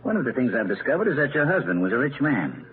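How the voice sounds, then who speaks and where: An actor playing a gruff older police inspector who interrogates bluntly in a 1930s radio drama. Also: male voice, mid-range voice, sing-song, fast talker, natural voice. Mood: accusing. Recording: tinny, noisy 1939 radio broadcast.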